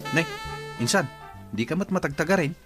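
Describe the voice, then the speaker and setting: A man's voice: on the high side, lively intonation, moderate pace, loud music behind the voice, natural voice. An actor with an Ilocano accent playing a friendly, prying male relative in a radio drama. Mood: teasing, advising.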